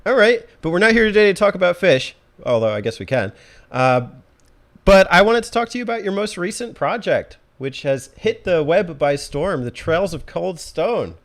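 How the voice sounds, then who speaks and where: A man's voice: high voice, big pitch swings, moderate pace. A man hosting a podcast, chatting casually with his guest. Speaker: podcast host. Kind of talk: casual interview chat.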